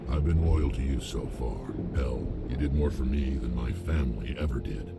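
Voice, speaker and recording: A man playing a gruff, distrustful, embittered alien mercenary warrior in a video game, blunt and weighty, with a gravelly rumble. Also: normal speed. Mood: bitter, resolute. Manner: blunt, forceful, weighty delivery. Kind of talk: dramatic video game acting. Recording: loud game music behind.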